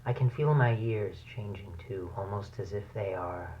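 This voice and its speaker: An actor playing an anxious scientist slowly turning into a bat creature, recording a horror audio diary in a measured, brooding, halting way. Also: male voice, lowish voice, close to an everyday voice. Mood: worried. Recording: dull, humming, noisy tape recording.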